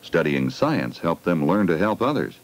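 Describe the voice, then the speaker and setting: Male narrator for a 1980 school film: low voice, very varied melody, simple explaining and questioning aimed at kids. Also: big pitch swings, moderate pace. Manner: addresses children with simple questions. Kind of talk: scripted educational film narration. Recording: 1980 16mm film soundtrack.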